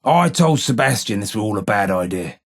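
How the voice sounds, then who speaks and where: An actor playing a blind, weary old London gang informer in a video game, with a London accent, a husky gravelly voice and measured, wry street talk. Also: male voice, on the low side, expressive. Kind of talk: video game character dialogue.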